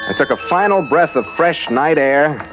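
An actor playing a tough, wry American ship captain in a hardboiled 1940s radio adventure, clipped and wisecracking. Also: male voice, a little high, expressive, normal speed, lightly acted. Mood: terse, hardboiled narrating. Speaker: lead actor and narrator. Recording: muffled vintage radio recording with music.

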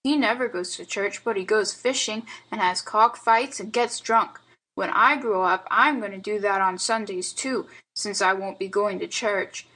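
A woman's voice: mid-range voice, measured pace, sharp tone, rough recording. A woman voicing a mischievous, earnest little boy in a storybook, with childish slang and plaintive reasoning. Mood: despondent, pondering. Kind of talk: storybook character dialogue.

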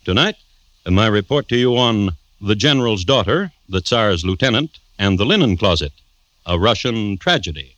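A man narrating a vintage radio crime drama as host, deliberate and drily droll. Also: fairly low pitch, expressive, a bit bright, no room sound. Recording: noisy old radio recording.

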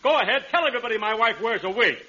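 Casting: aggrieved cop, very high-pitched, whiny and swooping, easily offended, vintage radio comedy. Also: male voice, lively intonation, very fast, bright, forceful tone, full character voice. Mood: aggrieved, sarcastic. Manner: whiny, sarcastic, twists every remark into an insult. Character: touchy, aggrieved, argumentative neighborhood policeman, easily offended. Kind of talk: 1940s radio comedy acting. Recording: dull, lo-fi 1940s radio recording.

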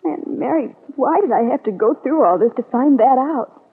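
A woman's voice: sits high, animated, normal speed, breathy edge, heavily acted. A woman playing a hurt, disillusioned young niece in an old-time radio soap opera. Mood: bitter, distraught. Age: young adult. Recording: tinny vintage radio recording.

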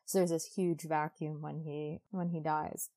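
A woman's voice: sits low, flat delivery, a little slow, dark tone. A woman co-hosting a casual book podcast, talking in a chatty, gushing way full of conversational asides. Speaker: podcast co-host.

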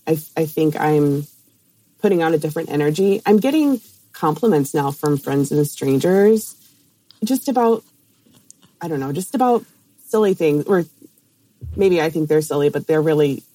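A woman guest on a podcast, speaking in a calm, soft-spoken and somewhat hesitant way in casual chat. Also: deep voice, normal speed, low-quality recording.

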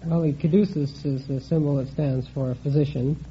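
A man's voice: fairly high pitch, fairly even intonation, normal speed. An American man in his twenties from Oregon, a quiz contestant answering questions earnestly and plainly. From Oregon, United States. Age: 20s. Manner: earnest, straightforward. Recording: muffled old radio broadcast, faint noise.

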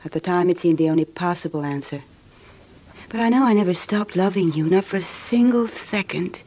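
An actress as a low-voiced, yearning scheming wife. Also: female voice, fairly quick, darkish tone, lightly acted. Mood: tender, pleading. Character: scheming adulterous wife, tender, yearning and coolly calculating. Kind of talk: old-time radio melodrama acting.

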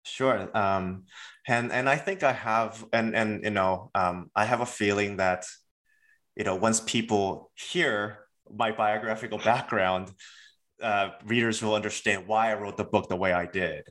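Male academic guest, low voice, searching and restarting as he thinks. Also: steady tone, a little slow, a bit bright. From South Korea. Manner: thoughtful, many fillers and restarts. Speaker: author, guest. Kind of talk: academic interview.

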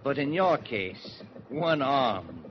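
An actor playing a suave Nazi spy in a 1940s radio drama, formally courteous while coolly threatening. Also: male voice, medium pitch, very animated, slow pace. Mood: cool menace. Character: suave, menacing male Nazi spy holding hostages. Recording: muffled, noisy 1949 radio broadcast.

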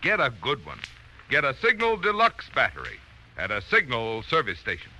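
A man's voice: medium pitch, huge pitch swings, moderate pace, very noisy. A man announcing on 1940s radio in an emphatic, polished, scripted style, recorded from a vintage broadcast. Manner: emphatic, polished sales delivery.

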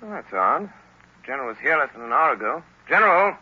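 An actor playing a decisive, take-charge gentleman detective in a 1930s radio drama. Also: a little high, very animated, fast talker, slightly rough. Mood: brisk, urgent. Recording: muffled old 1930s radio recording.

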